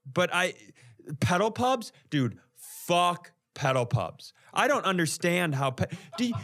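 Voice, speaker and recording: A man's voice: a little high, a bit bright. A man co-hosting a podcast, telling casual comic stories in a blunt, exasperated, sweary way. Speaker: podcast co-host. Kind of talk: casual comedic storytelling.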